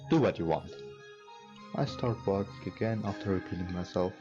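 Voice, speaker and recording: A man hosting a let's play, reading game text aloud haltingly and adding casual commentary. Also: low voice, lo-fi sound. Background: game music.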